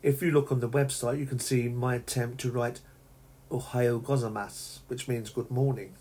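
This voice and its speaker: A man hosting a podcast, recounting his teacher-training work in a measured way with frequent restarts and self-corrections, his throat dry. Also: medium pitch, fairly even intonation, a little mellow, slight background noise. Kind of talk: reflective solo podcast monologue.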